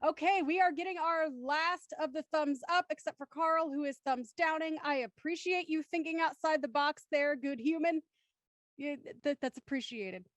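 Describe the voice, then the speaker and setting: A woman's voice: high-pitched, moderate pace, no room sound. A woman hosting a live script reading and reading the stage directions aloud.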